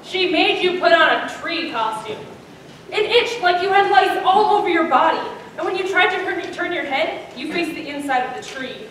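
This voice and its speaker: High-voiced teenage girl narrating theatrically. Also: lively intonation, normal speed, a crowd in the background. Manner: theatrical, projected delivery. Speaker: student performer, narrator. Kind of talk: dramatic comic storytelling in readers theater. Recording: echoey room, distant mic, faint noise.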